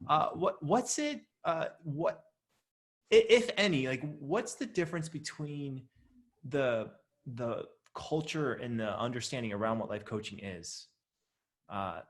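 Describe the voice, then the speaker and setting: A man's voice: mid-range voice, lively intonation, unhurried. A man hosting an interview over a video call, rambling and enthusiastic with lots of filler words. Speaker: host, training company president. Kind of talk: interview conversation.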